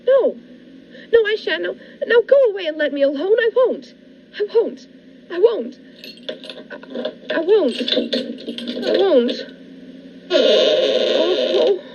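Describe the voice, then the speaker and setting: A trapped, fearful wife played by a woman in an old radio thriller, with a very high voice and theatrical, anxious delivery. The audio is dull and lightly noisy. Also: sing-song, takes it slowly, vocal fry, pauses often, darkish tone, heavily acted. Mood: hysterical. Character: frightened, trapped wife writing a despairing diary. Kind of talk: 1940s radio thriller acting. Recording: dull lo-fi radio-play audio, faint noise.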